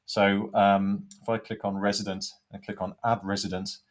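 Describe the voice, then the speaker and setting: Male product demonstrator, lowish pitch, informal step-by-step tutorial delivery. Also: little pitch movement, moderate pace. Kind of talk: software tutorial walkthrough.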